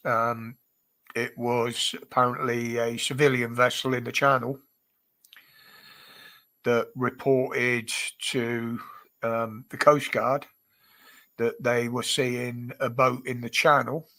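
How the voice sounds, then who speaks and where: British male streamer, congested nose, unhurried chatty delivery. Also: medium pitch, level tone, bright, forceful tone. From United Kingdom. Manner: chatty, greets viewers by name, chuckles often. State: stuffy, blocked nose. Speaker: livestream host. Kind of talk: casual livestream chat.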